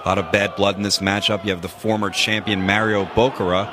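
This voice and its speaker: A man calling wrestling moves in quick succession as play-by-play commentator, with jokey asides. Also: lowish voice, level tone, noisy background.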